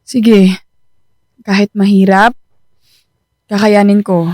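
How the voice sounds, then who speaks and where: A woman's voice: medium pitch, level tone, slow, darkish tone, no echo, studio quality, lightly acted. An actress playing an anxious, self-doubting young Filipina girlfriend in a radio drama, hesitant and devoted. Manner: hesitant, worried.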